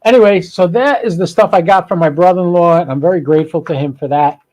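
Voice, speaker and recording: A man's voice: high voice, quick pace, a little mellow, low-quality recording. A man hosting a hobby podcast, chatting informally and thinking aloud in a rambling live-stream style. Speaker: amateur radio podcast host.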